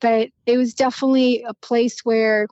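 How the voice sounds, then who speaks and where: A woman in her forties, originally from Romania, talks through her story as a podcast guest. Her fairly high voice stays level and even, and she pauses often with ums and restarts. The sound is dry and a little muffled. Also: no echo. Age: 40s. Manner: reflective, with frequent ums and restarts. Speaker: podcast guest, financial planner. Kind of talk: conversational interview.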